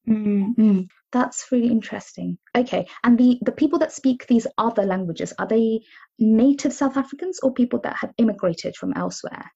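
A woman's voice: fairly high pitch, moderate pace, creaky, mellow, slightly rough, highs cut off. A woman from India whose first language is Tamil, co-hosting a podcast and asking curious questions. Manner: curious, asks many questions, frequent agreeing murmurs. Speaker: podcast co-host. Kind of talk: casual conversational podcast.

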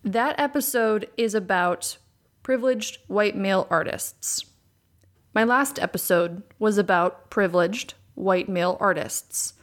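A young adult woman hosting a solo podcast, with a raspy voice and vocal fry worn from recording many times, talking candidly and repeating words for emphasis. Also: medium pitch, measured pace. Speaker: podcast host. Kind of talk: casual solo podcast talk. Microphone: USB condenser microphone.

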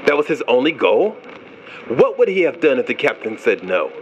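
Man voicing a authoritative male interrogator, firm, probing, animated intonation, audio drama, slight background noise. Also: medium pitch, moderate pace, dull audio, close to an everyday voice. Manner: firm, commanding, skeptical. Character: stern, authoritative male ship's investigator running an interrogation.

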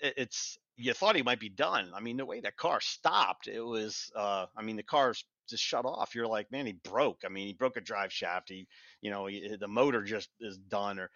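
A man's voice: fairly low pitch, normal speed, pushed and bright, no room sound. An American man talking as a reporter on a podcast panel, casually rambling through race details with repeated, restarted phrases. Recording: dull lo-fi, very dry sound.

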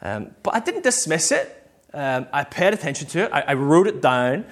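A man's voice: a little high, sing-song, moderate pace. A man with a Northern Irish accent giving an informal, conversational sermon full of personal stories and bits of acted-out dialogue. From Northern Ireland. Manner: conversational, self-quoting, acts out short bits of dialogue. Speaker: preacher.

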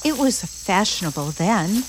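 An actress playing a naive, romantic, anxious newlywed bride in an old-time suspense radio drama, with gushing, halting speech. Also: female voice, mid-range voice, animated, slight background noise, lightly acted, low-quality recording. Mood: awed, uneasy.

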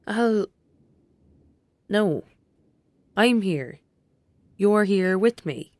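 A woman's voice: mid-range voice, lively intonation, extremely slow, dry recording. An actress playing a protective young girl, a caring best friend, in an audio drama, with an Irish accent and a coaxing, soothing manner. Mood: gentle, guarded.

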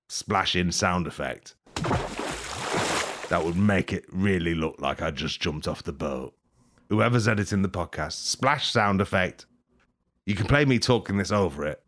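A man rambling through an improvised mock tour-guide bit, deadpan and absurd, swearing and laughing at his own jokes. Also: low voice. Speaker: podcast host.

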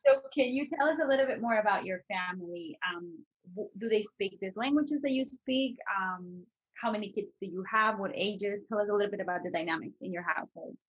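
A woman's voice: mid-range voice, fairly quick. Woman podcast host, muffled recording. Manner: asks questions in long strings. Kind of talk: podcast interview, asking questions.

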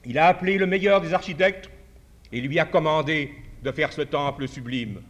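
A man delivers a formal address at a writers' congress, with a somewhat high voice. He speaks in a measured way, retells a parable, and restarts phrases as he searches for words. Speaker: conference speaker. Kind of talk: formal speech at a podium.

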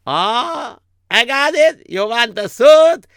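A man's voice: very high-pitched, lively intonation, slow pace, vocal fry, fairly bright tone, dry recording, clean studio sound, pitched above the performer's own voice, full character voice. A man voicing an eager, obliging tailor who speaks broken English in a comic story.

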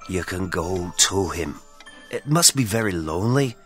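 Man acting a solemn priest, animated pitch. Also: a little slow, soft background music. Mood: gently insistent. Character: solemn, probing priest and spirit investigator. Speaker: actor in an audio play. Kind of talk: dramatic audio play acting.

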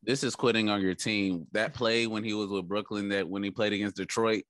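A man's voice: fairly low pitch, fairly even intonation, fairly quick, fairly bright tone, slight background noise. A man hosting a podcast, casually arguing sports and insistently repeating his point. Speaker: podcast host.

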